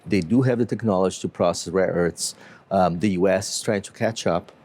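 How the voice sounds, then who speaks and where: A man from Brazil with a Brazilian accent, a company CEO explaining his business in an interview in a measured way with frequent fillers. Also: deep voice, a little mellow.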